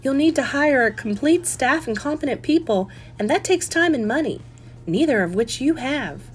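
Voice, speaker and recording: A woman storyteller puts on a critical older mother in a cozy mystery. The voice sits high and swings widely in pitch. The delivery is plaintive and huffy, full of fussing disapproval. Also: lively intonation, normal speed, faint music behind.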